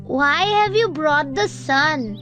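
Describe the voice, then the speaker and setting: Woman voicing a high-pitched, curious little cartoon boy. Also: expressive, takes it slowly, fairly bright tone, soft background music, heavily acted. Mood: puzzled, wondering. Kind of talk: scripted children's educational cartoon dialogue.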